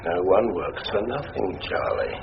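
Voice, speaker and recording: An actor playing a menacing, hard-nosed police inspector in a radio drama, slangy and clipped, with a gravelly voice. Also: male voice, low-pitched, sing-song, unhurried, exaggerated voice. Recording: noisy, muffled tape within radio drama.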